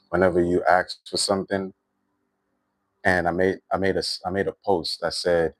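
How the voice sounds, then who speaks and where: A man with a Trinidadian accent hosting a livestream, talking in a reflective, unhurried way with lots of "you know" and "right". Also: deep voice, little pitch movement, dry recording. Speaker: livestream host. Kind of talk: spiritual livestream monologue.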